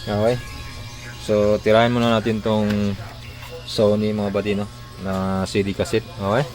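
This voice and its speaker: Low-pitched Filipino man, casual repair tutorial. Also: flat delivery, normal speed, darkish tone, soft background music. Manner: informal, talking through steps while working. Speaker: electronics repair tutorial host.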